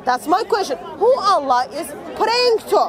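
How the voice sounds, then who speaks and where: High-pitched woman insisting in a crowd. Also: big pitch swings, moderate pace. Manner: insistent, repeats her question. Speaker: street debater. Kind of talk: outdoor debate. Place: outdoor park among a crowd. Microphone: far camera. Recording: crowd chatter outdoors.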